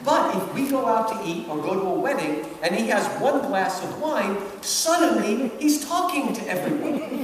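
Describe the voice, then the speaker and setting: Echoey, animated, high-pitched man lecturing. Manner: emphatic, talkative, punctuates points with questions. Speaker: lecturer giving commentary on a literary work. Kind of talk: animated public lecture with quoted readings. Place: large hall at a public event. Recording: very echoey hall, far off, faint noise.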